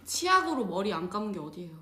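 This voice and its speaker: A woman's voice: medium pitch, fast talker. A young woman from South Chungcheong co-hosting a casual chat and telling funny stories with lots of giggles. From South Chungcheong, Korea. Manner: giggly, animated storytelling. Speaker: co-host. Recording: roomy echo.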